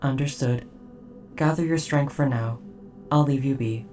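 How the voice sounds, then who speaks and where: A performer voicing an old, stoic, protective military robot in a formal, measured, literal, deadpan way for a scripted audio roleplay. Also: medium pitch, recorded from a distance, soft background music, lightly acted. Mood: calm, protective.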